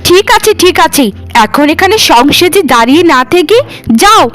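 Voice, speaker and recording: A woman voicing a stern rich widow, high and scolding. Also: lively intonation, normal speed, fairly bright tone, faint music behind. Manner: scolding and commanding. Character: stern wealthy widow mistress of a house. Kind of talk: cartoon voice acting.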